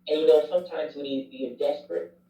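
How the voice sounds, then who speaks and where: A man's voice: a little high, steady tone, fast talker, dark tone. A man, a chaplain and former youth pastor, candidly and reflectively telling his story in a casual interview. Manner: candid, reflective, self-deprecating. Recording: roomy, distant, dull sound.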